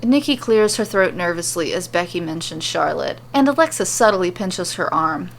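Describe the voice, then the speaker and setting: A woman's voice: on the low side, lively intonation, moderate pace, gravelly. A woman storyteller reading scripted fantasy narration, with ambient sound effects behind her. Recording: faint background noise and ambience.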